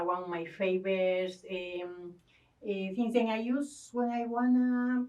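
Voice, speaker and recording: A woman's voice: fairly low pitch, recorded from a distance, poor audio. A woman from Ecuador, a guest talking earnestly about spirituality, often stopping to search for words. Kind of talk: spiritual conversation.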